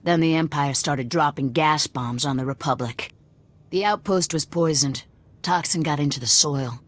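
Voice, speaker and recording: Low-voiced, hard-bitten rebel commander. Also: female voice, normal speed. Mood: hard, businesslike. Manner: blunt, curt, threatening. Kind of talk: video game voice acting.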